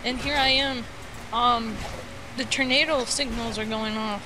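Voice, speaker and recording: A playful, halting speaker from the United States telling a rambling tall tale as a guest on an internet voice call. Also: mid-range voice, steady tone. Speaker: guest storyteller on a gaming voice call. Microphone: Skype call. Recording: clearly noisy internet voice call.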